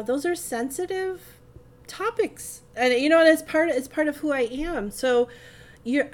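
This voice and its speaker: A woman speaking in a casual, reflective way as a podcast co-host, thoughtfully searching for her words. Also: high-pitched.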